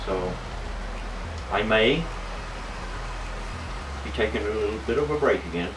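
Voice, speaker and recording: A man giving a casual, unscripted hobby vlog narration in a halting way, often repeating words. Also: lowish voice, animated, noisy background.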